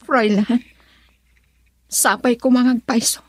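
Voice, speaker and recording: A young Ilocano wife in a radio drama, expecting a child. Her voice sits high and is recorded dry, with a tender, anxious, dutiful manner and a Filipino accent. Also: fairly even intonation, slow pace, no room sound. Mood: worried, pleading.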